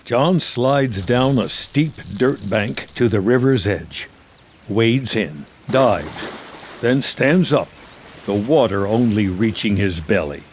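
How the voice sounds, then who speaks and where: A man with a husky voice reading scripted, present-tense screenplay narration as a storyteller. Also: lowish voice, lively intonation, takes it slowly, slight background noise.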